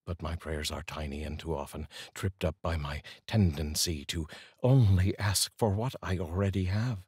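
A middle-aged man speaking in an earnest, deliberate way, like a host reading poetry aloud, up close to a studio microphone. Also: sits low, a little mellow, husky, dry recording. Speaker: host and voice-over reader. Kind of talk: solemn, measured poetry reading and personal address to viewers. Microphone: large studio microphone, up close.

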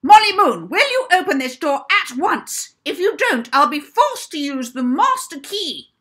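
A woman's voice: high-pitched, sing-song, measured pace, fairly bright tone, pitched above the performer's own voice, heavily acted. A woman voicing a spiteful, strict older spinster matron in a children's storybook, scolding and officious. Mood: furious, shouting.

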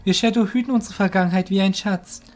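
A man's voice: high voice, fairly even intonation, fast, darkish tone, soft background music, pitched above the performer's own voice, natural voice. A man voicing an earnest young male apprentice warrior, questioning and respectful, in an audio drama.

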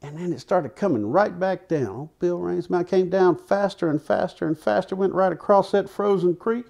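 Guy in his 60s spinning a folksy yarn, highish mellow voice, takes his time. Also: creaky, dull audio. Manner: folksy, unhurried, with dramatic pauses and repetition. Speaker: storyteller. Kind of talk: folksy tall-tale storytelling. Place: living room in a house, seated by a fireplace. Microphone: lapel.

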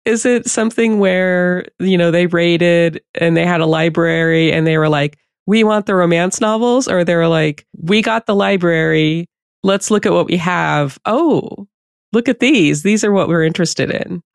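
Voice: medium pitch, moderate pace, creaky, clear, resonant tone, no echo, clean studio sound. A podcast host asking questions in a casual, conversational interview style.

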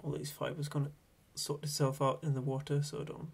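A man in his thirties with a Swedish accent, a Swedish native speaker explaining fly tying in a relaxed, hesitant way from a small home workshop. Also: on the high side, brisk, creaky, breathy edge. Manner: relaxed, hesitant, thinking aloud. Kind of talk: casual tutorial narration.

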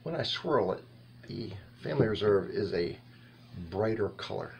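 A man in his fifties giving a casual, halting tasting review to camera, rambling and thinking aloud in a small indoor room. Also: lowish voice, animated, vocal fry, a little mellow, muffled recording, slight background noise. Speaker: wine reviewer and host.

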